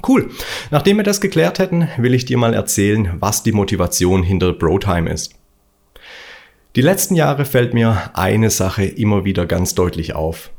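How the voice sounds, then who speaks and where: A man's voice: on the low side, lively intonation, moderate pace, creaky, a little mellow. A man from Stuttgart with a Swabian accent, hosting his own motivational podcast, talking bluntly and colloquially in a preachy solo monologue. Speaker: podcast host.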